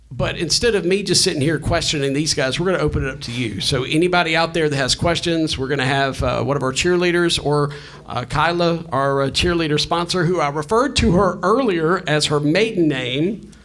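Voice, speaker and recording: A man's voice: on the high side, a bit bright. A man casually moderating a live panel at an event.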